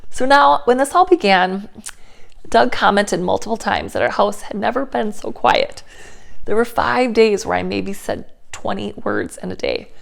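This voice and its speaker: Gravelly-voiced woman recovering her voice. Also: mid-range voice, expressive, moderate pace. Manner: candid, earnest, talking straight to viewers. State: voice recovering after laryngitis, slightly hoarse. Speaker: inspirational speaker and channel host. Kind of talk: personal vlog update.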